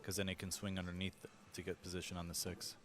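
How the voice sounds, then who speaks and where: A man's voice: sits low, completely flat delivery, fast talker, a bit bright. A man commentating a pool match live, explaining shot choices and position play to viewers.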